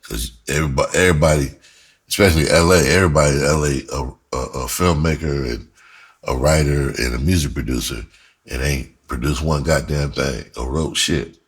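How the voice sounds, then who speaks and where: A middle-aged American man with a husky voice tells his own story casually, speaking bluntly in emphatic, profanity-laced street slang. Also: extremely low pitch, brisk. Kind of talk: casual first-person storytelling to camera.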